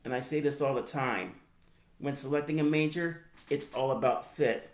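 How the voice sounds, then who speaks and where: An American man in his forties with a speech impediment, giving a personal reflective narration in halting, deliberate phrases. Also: medium pitch. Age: 40s. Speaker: higher education professional telling own story. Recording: roomy, echoey sound.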